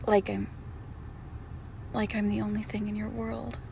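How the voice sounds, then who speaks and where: Woman acting a slow, creaky-voiced, doting girlfriend. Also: medium pitch, monotone, a little hiss or hum. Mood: soothing. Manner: slow, hushed, tender, often dropping to a whisper. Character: doting possessive girlfriend, secretly a monster, softly soothing. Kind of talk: intimate roleplay audio drama.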